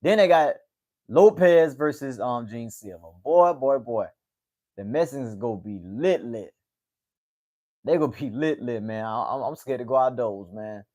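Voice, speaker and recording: A man in his late twenties talks loosely to viewers on a livestream. He rambles, repeats his words and tags "man" onto his sentences. His voice sits at an ordinary middle pitch. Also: animated, moderate pace, darkish tone. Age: 20s. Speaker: livestream host. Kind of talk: casual livestream chat.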